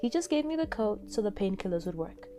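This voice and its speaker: A woman acts out an exasperated young woman in an audio story. She sounds defensive and flustered, with a mellow tone and music behind her. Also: medium pitch, sing-song, fairly quick, natural voice. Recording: music under the voice.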